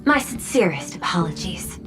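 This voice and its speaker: A woman voicing a polite, dignified video game official with a formal, measured delivery. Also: fairly low pitch, sing-song. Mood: composed, grave. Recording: music under the voice.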